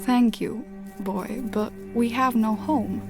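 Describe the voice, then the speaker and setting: Game side role: grateful, gentle villager, slow delivery over music. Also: female voice, a little high, steady tone. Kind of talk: video game character acting.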